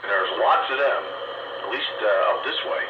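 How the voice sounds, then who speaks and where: A man's voice: lowish voice, moderate pace. A man chatting casually over the radio. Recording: noisy, muffled, echoey radio link.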